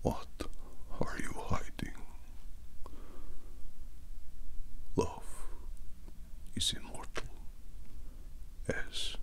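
A young man playing a brooding, theatrical vampire landlord in an ASMR roleplay, gravelly and breathy, speaking with dramatic pauses. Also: extremely high pitch, sharp tone, exaggerated voice. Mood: ominous. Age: young adult. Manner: slow, drawn-out, dramatic pauses.

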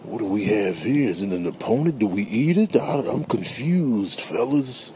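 A man's voice: lowish voice, animated, moderate pace, background noise, lightly acted. A man voicing a curious, puzzled animal musing to his buddies in a comic animal voice-over. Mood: intrigued, baffled. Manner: musing, questioning.

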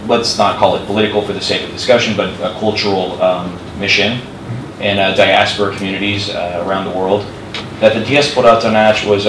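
A man from the United States asks a long question from the floor, hedging carefully with many fillers. His voice is very low. He sounds far from the mic in a reverberant hall with a clear hum. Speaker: audience member asking a question. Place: university lecture hall. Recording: distant echoey hall audio with hum.

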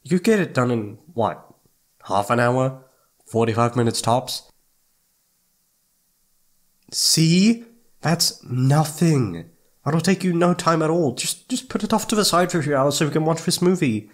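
A man playing a needy, affectionate young boyfriend in an intimate ASMR roleplay, speaking in a soft, pleading, hesitant way. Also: on the high side, animated, measured pace, pauses often. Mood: coaxing. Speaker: audio roleplay voice actor.

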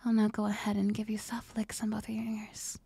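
A young woman playing an affectionate, doting girlfriend in an ASMR roleplay, whispering slowly and tenderly close to the microphone. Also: mid-range voice, monotone, warm tone, slight background noise, lightly acted. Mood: adoring, intimate. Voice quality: breathy, whispery. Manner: slow, tender, intimate. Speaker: ASMR performer.

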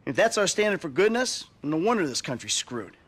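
A man's voice: on the high side, very animated, a little fast, fairly bright tone, husky, full character voice. An American man acting a sardonic, world-weary middle-aged retired criminal in a video game cutscene. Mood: bitter, defensive.

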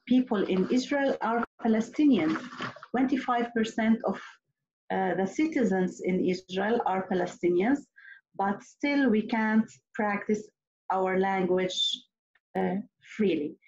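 A middle-aged woman whose first language is Arabic speaks slowly and earnestly, pausing and restarting as she works through a prepared talk. Her voice sits at a middle pitch. The audio is the dull, lo-fi sound of a laptop mic on a video call. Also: a little mellow. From Nazareth. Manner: slow, earnest, with hesitant restarts. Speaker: panelist, clinical psychologist. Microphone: laptop webcam mic on a video call.

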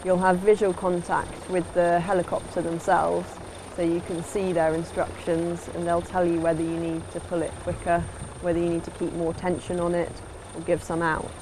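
Woman, lowish voice, plain explanatory narration, instructor, clear helicopter and outdoor noise. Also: level tone, normal speed, hardly any pauses. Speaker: sailing instructor.

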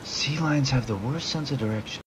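A man's voice: mid-range voice, moderate pace, lightly acted. A frantic penguin parent acted by a man exclaims and grumbles, flustered and exasperated. It is a comic animal voiceover with a little noise behind.

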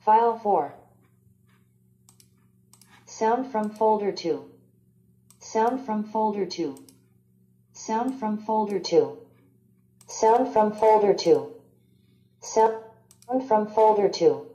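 A woman speaking short recorded announcement prompts, played back with dull, low-fidelity sound through a small speaker. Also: lively intonation, very slow, pauses often, mellow.

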